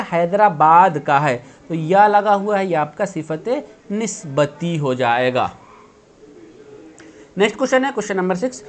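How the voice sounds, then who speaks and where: A man in his thirties teaching an online grammar lesson, patiently explaining and answering his own questions, recorded by webcam indoors. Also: high voice. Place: indoor room.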